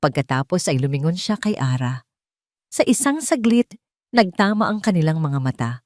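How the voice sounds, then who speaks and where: A woman's voice: deep voice, big pitch swings, normal speed, darkish tone, no room sound, clean studio sound. A woman storyteller reading scripted dramatic narration for an audio romance story.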